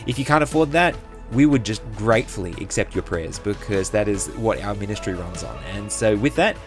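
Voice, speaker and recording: An Australian man hosting a faith podcast, talking in an earnest, measured way. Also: fairly low pitch, lively intonation. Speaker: podcast host. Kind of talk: casual chat and podcast outro. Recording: music bed under the voice. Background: music.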